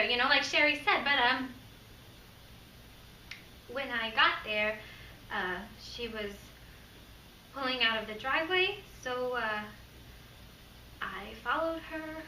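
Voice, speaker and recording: Uneasy, fixated young woman played by an actress confessing in a theatrical monologue; hesitant, hushed, roomy sound with faint noise. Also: high voice, recorded from a distance. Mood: uneasy, confessional.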